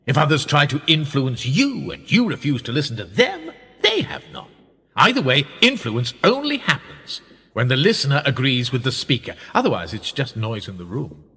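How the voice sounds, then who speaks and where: An actor in a radio play voicing a worldly, powerful establishment insider, speaking in a pedantic, suavely condescending way. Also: male voice, mid-range voice, very animated, normal speed, bright, forceful tone, breathy edge, exaggerated voice. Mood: smug certainty. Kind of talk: radio drama dialogue. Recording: long reverberant hall, music underneath. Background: music.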